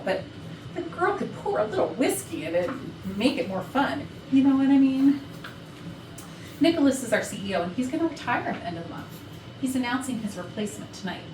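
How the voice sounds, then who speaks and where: A woman playing a gossipy, catty, flirtatious office worker who confides suggestively to the audience in a comedic stage play. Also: fairly high pitch. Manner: chatty, suggestive, conspiratorial. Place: indoor rehearsal room. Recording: roomy rehearsal, distant mic, noisy background.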